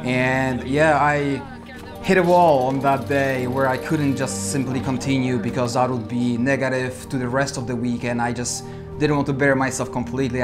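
Polish-accented male amateur cyclist, thirties, measured reflective interview delivery, clear music bed underneath. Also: medium pitch. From Poland. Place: indoor studio with dark backdrop.

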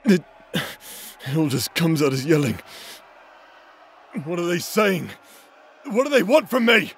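A man acting a tormented, possessed warrior in a dramatic fantasy audio roleplay, halting and stammering through broken phrases. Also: a little high, expressive, a little hiss or hum. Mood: pleading, yearning. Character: tormented warrior possessed by voices in his head.

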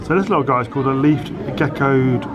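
A man's voice: mid-range voice, a little fast, muffled recording, noisy background. A man in his thirties chatting casually and playfully as he vlogs, filming himself at arm's length. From United Kingdom. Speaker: vlogger. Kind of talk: casual vlog chat. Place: outdoors at a theme park zoo and in an open-sided wooden shelter. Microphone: handheld camera at arm's length. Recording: outdoor park, background music and crowd.

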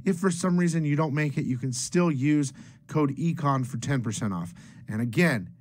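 A man's voice: a little high, lively intonation, normal speed, darkish tone. A middle-aged man hosting a comic book video from a small room at home, talking casually and upbeat with loose restarts. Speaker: comic book channel host.

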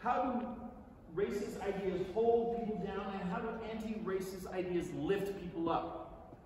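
A distant recording in a big, very reverberant theatre carries an American man in middle age, reading aloud from the stage. His voice sits fairly high, and he performs the text conversationally, leaning on key words and pausing for effect. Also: a little mellow, a little hiss or hum. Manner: conversational, deliberate, theatrical delivery with emphatic pauses. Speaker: stage reader performing a book. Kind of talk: dramatic staged reading to an audience. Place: large theatre stage, indoors. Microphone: far audience camera.

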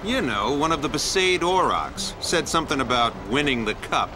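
A man's voice: on the high side, animated, normal speed, background noise, lightly acted. An actor playing a sneering male rival athlete in a video game, talking in a dismissive, taunting manner. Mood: mocking. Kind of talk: video game cutscene acting. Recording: music behind the voice.

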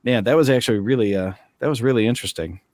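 A man in his thirties hosting a casual online author chat, joking and animated. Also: mid-range voice, rapid-fire, no echo, clean studio sound. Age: 30s. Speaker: podcast host. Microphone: studio mic on arm.

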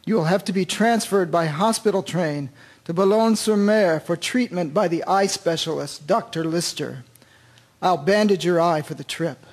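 An actor in a radio drama playing a calm, kindly army doctor and commanding officer with a measured, reassuring manner. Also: male voice, high-pitched.

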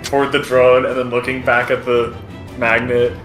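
A man running a tabletop game as game master, improvising narration in a halting, hesitant way. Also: mid-range voice, completely flat delivery, a bit bright, echoey room, soft background music.

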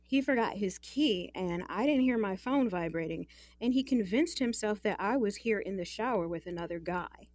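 A woman's voice: mid-range voice, expressive, moderate pace, darkish tone, no echo, lightly acted. An actress as a young woman in an audio drama, close and dry in sound, with a mid-range voice. She is sardonic and guarded, recounting things in a frank, fed-up way. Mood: exasperated, wry.

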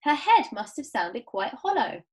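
An eccentric storybook factory owner, a man of unruffled good cheer, played by a woman reading aloud. He is matter-of-fact and playfully amused, with a high, bouncy lilt. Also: sing-song, measured pace.

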